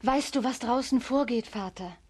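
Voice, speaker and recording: A woman's voice: a little high, moderate pace, darkish tone, lightly acted. An actress playing a tsar's young daughter in a children's radio play, proud and formal in her delivery. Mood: sad, resigned. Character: beautiful young tsar's daughter, patient but despairing, proud.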